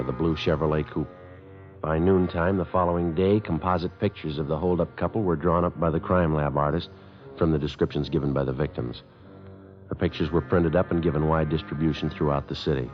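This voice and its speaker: A very deep-voiced American police sergeant, tells his case in terse, flat, matter-of-fact sentences. It is 1950s radio drama, with a dull vintage sound and faint music behind. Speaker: lead actor and narrator of a police radio drama. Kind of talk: 1950s radio drama acting, clipped scripted narration.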